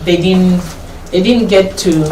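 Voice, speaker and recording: A woman's voice: lowish voice, takes it slowly, warm tone. Hesitant Kenyan woman in an echoey room. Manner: hesitant, repeats words, mixes in Swahili. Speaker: guest. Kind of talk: life story interview. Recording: echoey room, mic far off, some noise.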